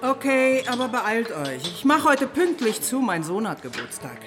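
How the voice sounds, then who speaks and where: An actress in a radio drama playing a brisk, motherly barmaid, blunt and bossy but kindly. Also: on the high side, sing-song, over music. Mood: brisk, firm.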